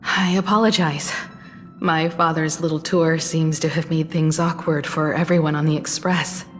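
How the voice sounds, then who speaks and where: A woman playing a calm, poised mentor. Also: deep voice, moderate pace, natural voice. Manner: calm, composed, gently authoritative.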